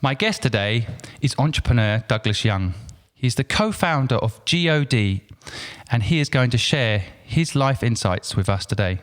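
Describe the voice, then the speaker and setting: A man's voice: mid-range voice, expressive, moderate pace. A man hosting a podcast, giving an upbeat intro and asking questions with stumbling repeats. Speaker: podcast host.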